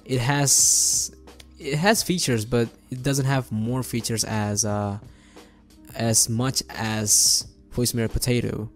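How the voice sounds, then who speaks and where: A young man walks through software in a casual, upbeat tutorial manner. He speaks close to a desk microphone at home, with faint music playing behind him. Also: mid-range voice. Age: young adult. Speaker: tutorial host. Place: home bedroom setup indoors. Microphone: close desk microphone on boom arm.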